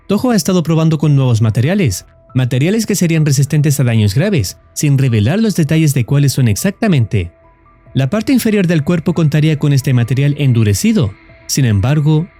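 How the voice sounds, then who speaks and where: Mellow, expressive male narrator over music. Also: on the high side. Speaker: narrator of horror recap videos. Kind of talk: scripted narration. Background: background music.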